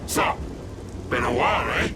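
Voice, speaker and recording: A man's voice: medium pitch, lively intonation, normal speed, background noise, natural voice. A British man playing a smug, condescending villain in a video game, taunting his foes in a casual, mocking way. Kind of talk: video game cutscene acting. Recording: game music, rain and thunder behind.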